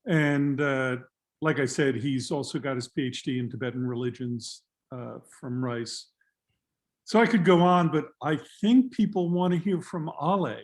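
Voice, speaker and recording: A man hosting an online lecture, introducing the guest in a measured way, with frequent uh fillers. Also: mid-range voice. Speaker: host introducing a speaker. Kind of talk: formal introduction. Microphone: video call.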